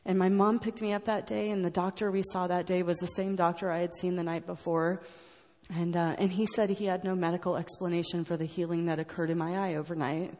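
A woman's voice: fairly low pitch, darkish tone, in a large hall. An American woman telling her personal story to an audience, earnest and rambling, with many fillers and restarts. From United States. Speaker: speaker sharing her story at a recovery meeting.

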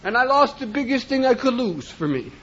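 Impassioned high-voiced man, lo-fi tape. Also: sing-song, a little fast. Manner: impassioned, often shouting, rhythmic repetition. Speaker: recovery meeting speaker. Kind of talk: personal testimony speech. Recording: dull lo-fi recording.

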